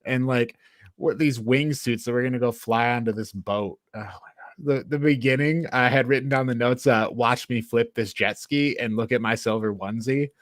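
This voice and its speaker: A man's voice: medium pitch, moderate pace, no room sound. A man chats casually as a podcast co-host reviewing a film. His delivery is mocking and exasperated, in a middle-range voice with a close, dry sound. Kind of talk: casual movie review chat.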